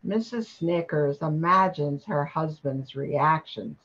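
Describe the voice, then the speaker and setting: A woman's voice: low voice, takes it slowly, a bit bright, breathy edge, muffled recording. An older woman narrating a scripted radio play.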